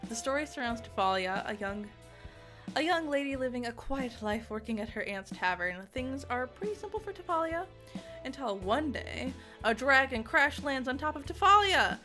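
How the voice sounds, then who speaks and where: A woman giving a casual, chatty game review, giggly and excitable, with rambling asides. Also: high-pitched, moderate pace. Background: music.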